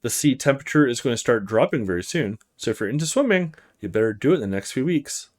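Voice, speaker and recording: A man's voice: medium pitch, expressive, fast talker, close to an everyday voice. A man voicing a friendly, slightly roguish old taxi driver with a folksy, chatty manner in a game. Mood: helpful. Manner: folksy, chatty, eager to give tips.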